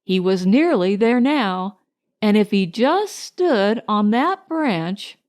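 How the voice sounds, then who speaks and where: A woman narrating a children's storybook in an unhurried, expressive way. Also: medium pitch. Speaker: audiobook narrator.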